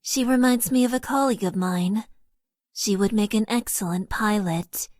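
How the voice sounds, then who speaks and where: An actress playing a stoic young female mecha pilot who holds back her emotions, speaking in a flat, deadpan way. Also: medium pitch, moderate pace, no echo, clean studio sound, close to an everyday voice. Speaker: game character. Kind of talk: dating sim voice lines.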